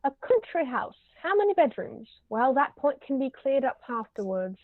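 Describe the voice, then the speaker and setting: A woman's voice: very animated, normal speed. A woman reading the part of an imperious, snobbish older aristocratic lady in a stage comedy, pompous and formal in manner. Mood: snobbish, disapproving. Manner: pompous, formal, pronouncing judgments. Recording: roomy, muffled low-quality recording.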